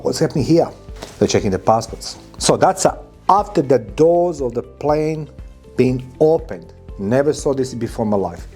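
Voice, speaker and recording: A man's voice: medium pitch, a little mellow, husky. A man in his fifties from Croatia, speaking non-native English with a Croatian accent, telling travel stories emphatically as a vlogger. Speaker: vlogger and podcast host. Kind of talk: informal travel vlog monologue to camera. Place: furnished indoor room, hotel-like.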